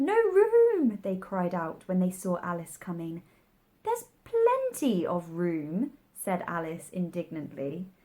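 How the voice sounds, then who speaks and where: Slow, expressive woman reading a storybook. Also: fairly low pitch. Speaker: storybook reader. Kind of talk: scripted storybook narration.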